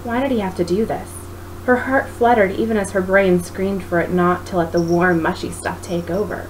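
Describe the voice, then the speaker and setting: Woman reading fiction, clear background noise. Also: on the low side, fairly even intonation, moderate pace. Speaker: author reading own book. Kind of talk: expressive story narration. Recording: noisy home recording.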